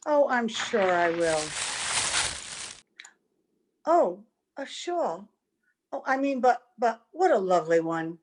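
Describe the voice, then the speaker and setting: A woman's voice: on the high side, sing-song, slow, pauses often, darkish tone, full character voice, rough recording. A woman playing a youthful, sweet, earnest wife in old-time radio drama, with a theatrical delivery. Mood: sweet, polite. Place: at home, recorded over video call.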